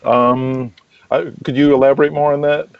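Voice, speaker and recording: A man being interviewed as a guest, chatting casually in an enthusiastic, rambling, halting way. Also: mid-range voice, level tone, gravelly, smooth, no echo, lo-fi sound. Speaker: interview guest, wrestling promoter and booker.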